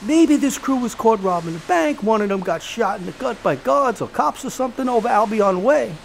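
Casting: sly American gangster kingpin, high creaky voice, theatrical and streetwise, for period audio drama. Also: male voice, expressive, moderate pace, a little hiss or hum. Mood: scheming. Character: gleeful sweet-toothed gangster crime boss, sly and menacing.

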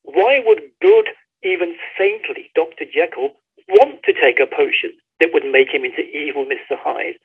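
Guy on a phone line, bit husky and higher voiced, telling a story with real emphasis. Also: expressive, normal speed. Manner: emphatic storytelling, acts out quoted dialogue. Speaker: author guest. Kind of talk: conversational explanation of a story. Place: remote call.

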